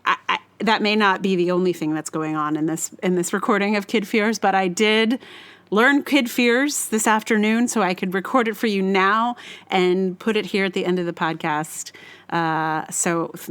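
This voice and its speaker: A woman's voice: lowish voice, fairly even intonation, normal speed, clean tone. A woman hosting a podcast, talking casually in a hesitant, rambling way and often interrupting herself. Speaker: podcast host.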